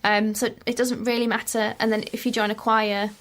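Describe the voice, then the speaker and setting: A woman vocal coach explaining singing in a relaxed podcast conversation, patient and full of examples. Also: a little high, brisk.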